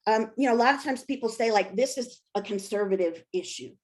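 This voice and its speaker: A woman's voice: fairly high pitch, a little fast, darkish tone. A woman being interviewed as an author and scholar, talking casually and candidly with self-deprecating humor.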